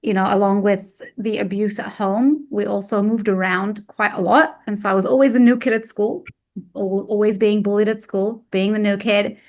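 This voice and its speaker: A woman's voice: mid-range voice, steady tone, normal speed, a little mellow. A woman whose first language is Arabic, a weight loss coach who grew up in Egypt, speaking openly and reflectively about hard memories as a podcast guest. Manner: open and reflective, tells painful memories plainly. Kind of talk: candid personal storytelling.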